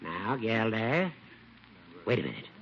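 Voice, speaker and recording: An actor playing a genial, sentimental older judge with florid phrasing in a 1950s radio sitcom. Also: male voice, on the low side, sing-song, slow, creaky, fairly bright tone, husky, exaggerated voice. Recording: dull old radio recording with music.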